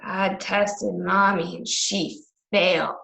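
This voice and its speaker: A woman's voice: mid-range voice, flat delivery, slow, vocal fry, pushed and bright, full character voice, rough recording. A woman playing a plaintive, accusing dead child in a radio drama. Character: accusing, menacing dead child haunting its mother.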